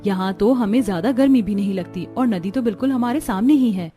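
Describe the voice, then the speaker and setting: A woman's voice: medium pitch, fairly quick, warm tone, faint music behind, natural voice. A woman playing a practical young village daughter-in-law in a scripted cartoon story. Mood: reasoning.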